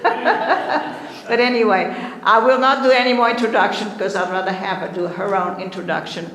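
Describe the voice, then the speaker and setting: A man's voice: high voice, fairly even intonation, moderate pace, sharp tone. A man hosting a library program, chatting casually and laughing as he introduces the speaker. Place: library hall. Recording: echoey room with reverb.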